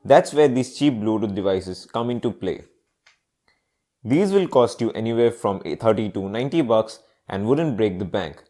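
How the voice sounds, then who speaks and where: A man's voice: lowish voice, lively intonation, moderate pace, dark tone. A man presenting a scripted product review as a video reviewer, in narration style. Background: background music.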